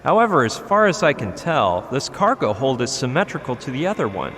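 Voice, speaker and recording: A man's voice: medium pitch, very animated, brisk, fairly bright tone, slightly rough, big-room sound. An actor voicing a sarcastic, joking young male adventure hero in a game. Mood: wry. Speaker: game protagonist.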